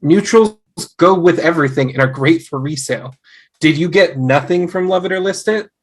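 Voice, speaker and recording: A man's voice: on the high side, moderate pace. A man in an amateur table read playing a stubborn, dryly humorous young husband. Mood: defensive. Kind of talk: amateur table read acting over video call.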